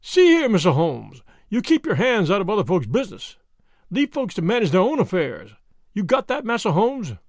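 A crude, menacing bruiser voiced by a man barks threats in rough slang, loud and raised in pitch. His voice swings widely up and down. The sound is close and dry. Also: very animated, fairly quick, no room sound. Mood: threatening. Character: hulking blustering male bruiser, menacing and crude.

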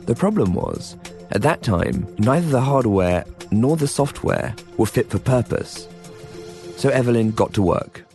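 A man's voice: mid-range voice, expressive, measured pace, a little mellow, soft background music. A man hosting and narrating a documentary podcast in a scripted storytelling style. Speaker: host and narrator.

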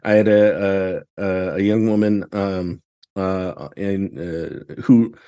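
A man's voice: low-pitched, fairly even intonation, mellow. An American man talking casually as a podcast guest, often circling back over words as he searches for the next one. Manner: halting, searching delivery with repeated words. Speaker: podcast guest, theatre director. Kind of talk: casual interview conversation.

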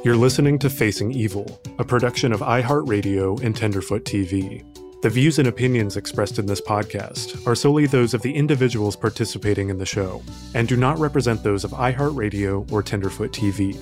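A man reading a scripted case summary in a measured, even storytelling voice. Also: fairly low pitch. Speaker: podcast narrator. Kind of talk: scripted narration. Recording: music bed under voice. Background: music.

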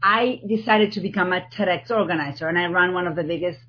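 A woman from Argentina with an Argentine accent, a guest in an interview, talking in an animated way and often restarting her sentences. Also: fairly low pitch, fast talker, slightly rough, lo-fi sound. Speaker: guest, TEDx organizer. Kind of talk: podcast interview.

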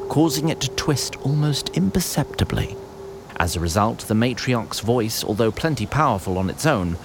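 A man reading scripted fantasy narration in a literary storytelling manner. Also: mid-range voice. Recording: noisy background ambience.

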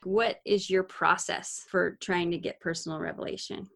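A woman hosting a casual faith discussion, talking in an animated way and asking questions. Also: on the low side, moderate pace, gravelly.

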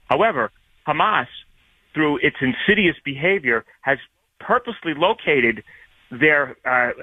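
A man with a New York accent calling into a radio show, earnest and wordy, halting with fillers. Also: on the high side, no room sound. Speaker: caller. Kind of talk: call-in opinion. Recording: tinny phone line.

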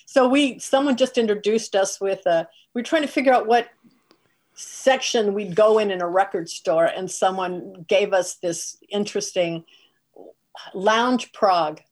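A female singer with a soft voice, telling anecdotes casually in an interview. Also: medium pitch, measured pace, low-quality recording.

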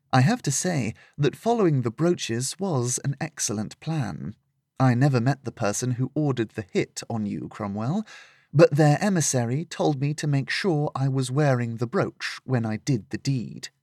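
A man reading a novel aloud, voicing a calm woman mercenary with slow, quiet, measured speech. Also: medium pitch, dry recording, studio quality.

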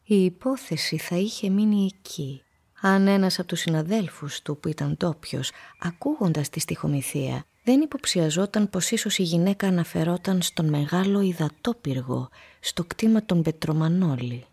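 A woman narrating a literary radio play in a measured, deliberate manner. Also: fairly low pitch, lively intonation, darkish tone, breathy edge.

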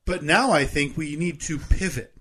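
A man's voice: a little high, moderate pace, fairly bright tone, slightly rough. A man co-hosting a podcast in casual chat, with a teasing, joking manner. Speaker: podcast co-host.

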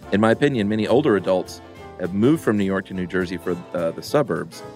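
A middle-aged man co-hosting a podcast, reading listener mail in a rambling, relaxed way with lots of fillers. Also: sits low, fast, faint music behind. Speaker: podcast co-host.

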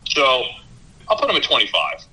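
A man's voice: mid-range voice, wildly swooping pitch, normal speed, pushed and bright, rough recording. A man who works as a basketball broadcaster, giving casual sports analysis and thinking aloud as a guest.

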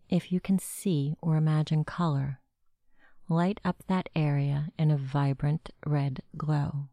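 A woman narrating a guided meditation with gentle, measured instructions. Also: sits low, no room sound, clean studio sound.

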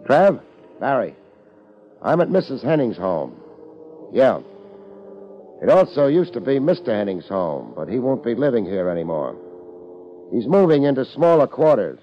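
An actor playing a wry, deadpan private detective with an American accent, in a 1950s radio drama. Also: male voice, medium pitch, normal speed, long pauses, lightly acted. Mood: dry, tough. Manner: deadpan, wisecracking. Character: wry, laid-back, hardboiled private detective narrating his case. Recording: dull 1950s radio recording.

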